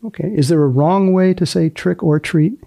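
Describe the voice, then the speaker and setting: Gravelly, mellow male podcast host. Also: sits high, moderate pace. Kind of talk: interview questions and podcast announcements.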